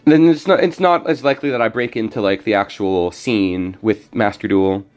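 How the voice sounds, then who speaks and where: A young man streaming a card game, talking casually and rambling with many restarts, his voice slightly hoarse. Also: fairly low pitch, moderate pace, a little mellow, soft background music. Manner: rambling, self-correcting. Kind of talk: casual gameplay commentary.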